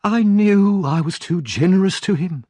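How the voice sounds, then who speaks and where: A man voicing a fretful middle-aged woman shopkeeper, in a high, shrill voice, in a storybook reading. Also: moderate pace, mellow, no echo, close to an everyday voice. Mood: self-pitying.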